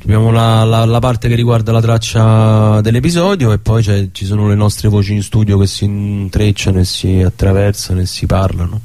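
Low-voiced Roman man chatting casually. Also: steady tone, moderate pace, few pauses, warm tone. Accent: Roman Italian. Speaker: radio guest, theatre maker. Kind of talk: casual interview chat.

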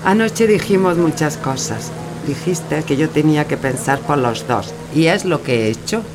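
A woman's voice: sits low, expressive, moderate pace. A woman playing a resolute, composed lover saying farewell in a radio play. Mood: firm. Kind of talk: radio theatre acting. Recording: music and engine noise behind.

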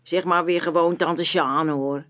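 An actress playing a feisty, warm-hearted neighbourhood auntie in a Dutch radio play, with a familiar, bantering manner. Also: female voice, low voice, fairly even intonation, a little slow.

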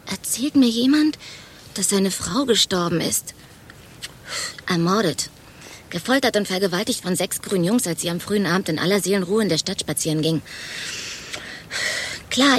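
An actress playing a chatty, overexcited office gossip in a radio play, telling things in a dramatic, gushing way. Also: female voice, medium pitch, breathy edge. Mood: agitated, excited. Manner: dramatic, gushing storyteller, mimics quoted exchanges.

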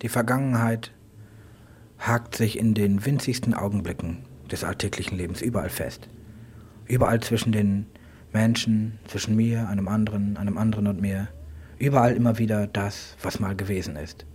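A man speaking reflective spoken-word radio prose in halting fragments, with a husky voice. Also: on the low side, a little mellow, a little hiss or hum.

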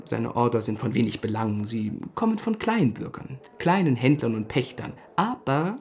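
Lively, affable young male stockbroker. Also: medium pitch, normal speed, a little hiss or hum. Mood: confiding. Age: young adult. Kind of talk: radio play acting.

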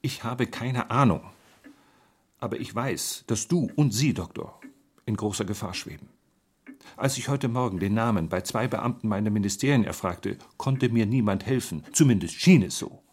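Stern big brother government man, lowish raspy-husky voice, grave and bossy, radio play. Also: expressive, moderate pace. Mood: grave warning. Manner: stern, formal, insistent warning. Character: grave, authoritative elder brother, senior government official.

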